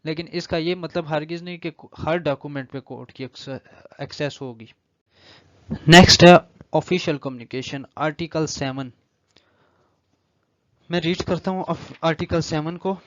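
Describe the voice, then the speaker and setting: A man with a Pakistani accent teaches in a slightly high-pitched voice. He lectures in a deliberate, explanatory way, moving between reading formal clauses and explaining them. The recording is very dry, with a somewhat dull, lo-fi sound. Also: fairly bright tone, no room sound. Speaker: law lecturer.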